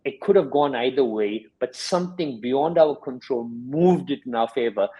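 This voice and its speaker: A man's voice: mid-range voice, moderate pace, warm tone, breathy edge, low-quality recording. A man who works as a sports scientist and performance coach, answering interview questions in a reflective way and explaining with examples.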